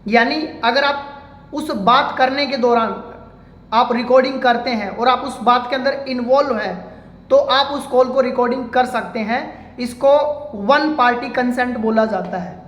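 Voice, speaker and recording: A man's voice: very high voice, a little slow, a bit bright. A man speaking as an advocate, giving an informal legal explainer step by step and addressing viewers as friends. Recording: echoey room with long reverb.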